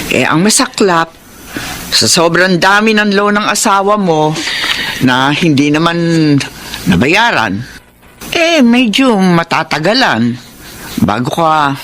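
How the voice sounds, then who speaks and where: A woman's voice: on the low side, a bit bright, slight background noise. Caring, motherly Filipino friend. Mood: concerned, explaining. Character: caring, motherly woman friend, gently advising. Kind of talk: Filipino radio drama acting.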